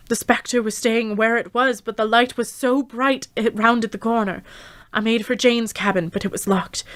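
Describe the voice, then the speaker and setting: A woman playing a brave but frightened young adventurer, narrating her ordeal at sea in a measured, dramatic radio drama style. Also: a little high, a bit bright, close to an everyday voice. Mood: tense, hushed.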